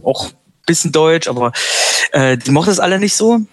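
A man's voice: fairly high pitch, animated, a little fast, no room sound. A man whose first language is German, a band's singer and lyricist, talking in a casual interview in a reflective, somewhat hesitant and self-deprecating way.

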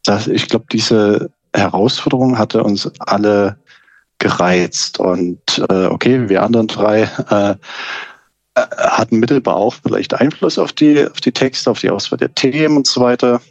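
A man in a band, talking in a casual interview in a measured, deliberate way with frequent pauses to search for words. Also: lowish voice, vocal fry, no room sound. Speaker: band member. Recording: slightly muffled lo-fi recording.

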